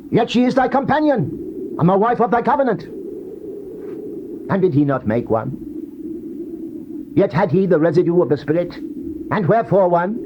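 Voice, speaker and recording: A man giving a solemn, theatrical dramatized reading of the King James Bible in a declamatory manner. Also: sits high. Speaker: scripture narrator. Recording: dull, noisy old cassette tape.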